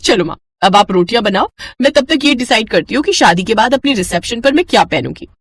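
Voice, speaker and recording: A woman voicing a spoiled, excitable young daughter in a storybook tale, with dramatic, pouting, slangy delivery. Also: a little high, fast, slightly rough, no room sound, voice pushed up. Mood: dreamy, excited. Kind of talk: animated Hindi moral story dialogue.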